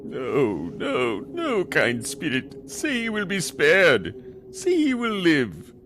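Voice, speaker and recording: A man's voice: fairly high pitch, huge pitch swings, takes it slowly, full character voice. A man playing an anguished, pleading old miser in a theatrical radio play. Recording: heavy ambient noise behind voice.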